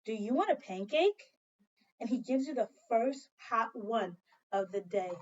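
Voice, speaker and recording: Animated, high-pitched woman teacher reading aloud. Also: a little slow, muffled recording. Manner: addresses young children directly, with prompting questions and repetition; expressive storybook reading. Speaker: second grade teacher. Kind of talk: children's read-aloud lesson.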